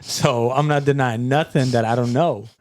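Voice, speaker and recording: A man from the Dominican Republic co-hosting a podcast, relaxed and matter-of-fact in casual chat. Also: mid-range voice, moderate pace, no room sound, clean studio sound. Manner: relaxed, matter-of-fact, says "bro" often. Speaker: podcast co-host.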